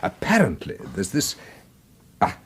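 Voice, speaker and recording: An actor playing an affable, sly British secret service colleague in a radio thriller, chummy and hesitant with a falsely casual air. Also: male voice, unhurried, a bit bright, lightly acted. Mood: sly, wheedling. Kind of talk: radio thriller dialogue acting.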